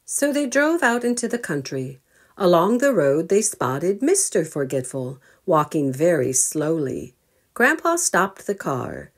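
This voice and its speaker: A woman's voice: fairly low pitch, measured pace. A woman reading a children's storybook aloud, expressively, as a storyteller addressing young listeners. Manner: expressive, asking listeners questions.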